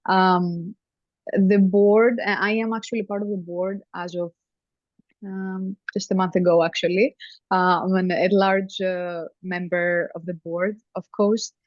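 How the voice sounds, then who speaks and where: A woman from Greece, a family therapy professor, chatting as a guest in an interview over a video call, talking easily with frequent ums and soft laughs. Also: medium pitch, little pitch movement, measured pace, no room sound. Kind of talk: conversational interview. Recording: dry, dull lo-fi sound.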